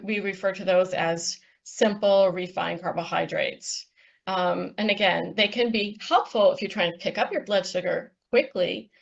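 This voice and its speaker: A woman on a panel, explaining nutrition slides in a steady, instructive way with frequent ums. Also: medium pitch, gravelly, dull audio. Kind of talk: presenting slides in a panel talk.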